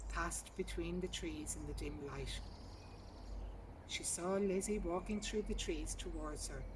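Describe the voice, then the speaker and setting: Female story reader, lower register, measured and sombre; garden recording with prominent birdsong. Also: background noise. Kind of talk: literary story reading. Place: outdoors in a garden. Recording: outdoor garden recording, distant mic, birdsong.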